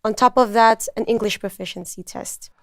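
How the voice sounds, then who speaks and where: A woman's voice: fairly high pitch, steady tone, normal speed, no echo. A woman speaking as a student recruiter, giving orderly, informative explanations on a talk show.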